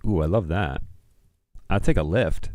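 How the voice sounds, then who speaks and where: Man, middle-aged, low voice, slow unhurried pace, rambling casual livestream chat, dry close sound. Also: a little mellow, no room sound. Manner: rambling, informal. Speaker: livestream host. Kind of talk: casual chat, thinking aloud while browsing.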